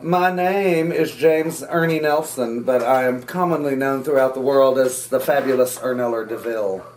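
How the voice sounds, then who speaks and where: A man's voice: mid-range voice, normal speed, a little mellow, poor audio. Forties guy, super theatrical, showy intro, slight hiss. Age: 40s. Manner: theatrical, flamboyant self-introduction. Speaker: interviewee.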